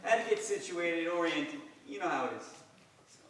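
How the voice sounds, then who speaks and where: Guy doing cocky awkward young soldier in a play, forceful, far from the mic. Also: fairly high pitch, a little fast, slight background noise, close to an everyday voice. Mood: awkward bragging. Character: cocky young army man, self-important, awkward, small-town boy. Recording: echoey, distant stage recording.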